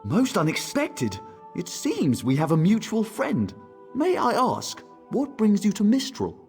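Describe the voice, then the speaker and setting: A man's voice: high-pitched, huge pitch swings, measured pace, a little mellow, soft background music, full character voice. An actor playing a courteous, tactful male elf diplomat in a video game, speaking in a polite, formal, ornate way. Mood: courteous, careful. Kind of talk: video game character dialogue.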